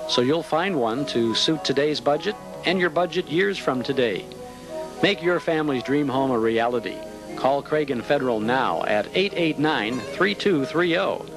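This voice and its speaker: A man with a medium-pitched voice delivers a scripted commercial read as an announcer. Music plays clearly behind him. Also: animated, moderate pace. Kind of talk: scripted advertising narration.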